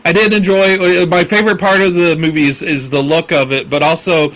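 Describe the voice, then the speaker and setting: Podcast guy rambling about a movie, lots of ums, kinda high voice. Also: little pitch movement, fairly quick, fairly bright tone, rough recording. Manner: rambling, many fillers and restarts, laughs often. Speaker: podcast co-host. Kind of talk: casual film discussion.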